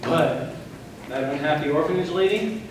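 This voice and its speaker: A man's voice: fairly high pitch, expressive, moderate pace, close to an everyday voice. A man acting in a stage play as a cocky, dim young aspiring actor in his twenties, breezy and self-satisfied. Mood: carefree. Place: stage in a large hall, far from microphone. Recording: distant, echoey, noisy stage recording.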